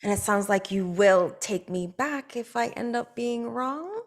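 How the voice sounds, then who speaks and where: A woman reading the part of a restless TV writer at a table read, brisk and self-justifying as she breaks up with her boyfriend. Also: a little high, animated. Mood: defiant.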